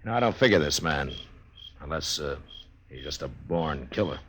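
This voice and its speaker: A deep-voiced American lawman of the Old West, steady and probing. He speaks in a measured, understated way with thoughtful pauses, in a vintage 1950s radio western. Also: a little hiss or hum. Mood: probing, grave. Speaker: radio drama lead actor.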